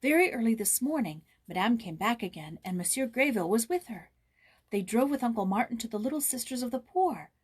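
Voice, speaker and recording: A woman voicing an excited young boy who is bursting with happy news, in a children's storybook reading. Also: on the high side, a little fast, breathy edge. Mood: eager.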